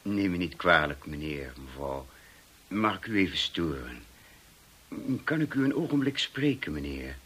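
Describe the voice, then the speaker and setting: A formal manor butler in a radio play, with a very low, husky voice. He is deferential and precise, every phrase politely put. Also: very animated, measured pace, pushed and bright, full character voice. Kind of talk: Dutch radio drama acting.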